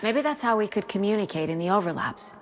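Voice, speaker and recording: An actress playing a level-headed woman investigator in a horror mystery game, measured and questioning as she reasons things through. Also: mid-range voice, animated, lightly acted. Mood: resolute. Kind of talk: video game voice acting, mixing dialogue and inner monologue. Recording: game audio with clear background noise.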